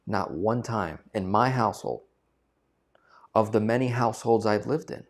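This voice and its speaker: A man's voice: on the low side, moderate pace. A man talking to camera as a finance coach, emphatic and repeating phrases for stress. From United States. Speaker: finance coach and channel host. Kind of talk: direct-to-camera talk.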